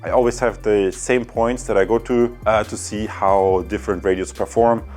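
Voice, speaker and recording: A man with a fairly low voice hosts a casual product review. He explains things step by step in an enthusiastic way, speaking straight to his viewers. Faint music plays behind his voice. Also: steady tone, moderate pace, a little mellow. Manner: enthusiastic, addresses viewers directly.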